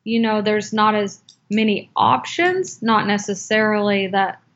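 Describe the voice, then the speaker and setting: Slow-speaking woman with dull lo-fi audio. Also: medium pitch, fairly even intonation, a bit bright. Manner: slow, hesitant, with many fillers and restarts. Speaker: guest, cocktail book author. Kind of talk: unscripted interview answers.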